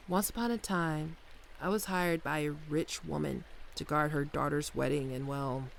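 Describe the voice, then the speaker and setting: A woman's voice: lowish voice, vocal fry, slight background noise. A woman playing an affectionate, wry bodyguard wife in an intimate, soothing ASMR roleplay. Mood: wry, exasperated. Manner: soothing, playful, storytelling. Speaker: voice actor in an audio roleplay. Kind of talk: ASMR roleplay, intimate one-sided dialogue.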